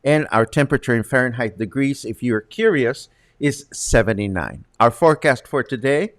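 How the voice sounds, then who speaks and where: Man, show host, conversational delivery, wry asides, mid-pitched voice, dry recording. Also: animated, moderate pace, no echo. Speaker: host presenting news and interviews. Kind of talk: chatty presenting to viewers.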